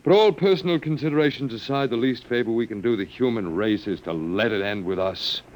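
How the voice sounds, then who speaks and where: A man's voice: mid-range voice, brisk, a little mellow, close to an everyday voice. An actor playing a wry, resigned male academic in an old radio drama, lecturing with hesitant repetitions. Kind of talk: classic radio drama acting. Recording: noisy old radio recording.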